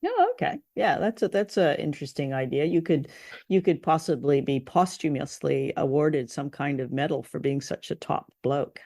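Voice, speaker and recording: A woman's voice: low-pitched, animated, normal speed, creaky, warm tone, no echo. Woman co-host in a dry, close recording. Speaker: podcast co-host. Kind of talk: casual unscripted chat.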